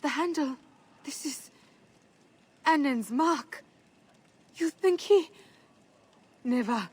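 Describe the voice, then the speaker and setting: A woman's voice: high-pitched, expressive, takes it slowly, darkish tone. A woman acting a proud, devoted ancient Egyptian wife in a video game, speaking with earnest emotion. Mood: distressed.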